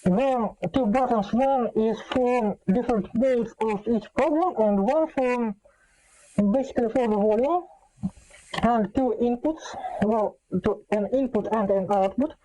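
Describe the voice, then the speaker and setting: Female presenter, fairly high but soft-edged voice, halting and informal, as in an off-the-cuff tech demo. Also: a little hiss or hum, low-quality recording. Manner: hesitant, halting delivery with frequent long filler pauses. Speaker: host demonstrating an electronic gadget. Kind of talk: casual unscripted tech demonstration.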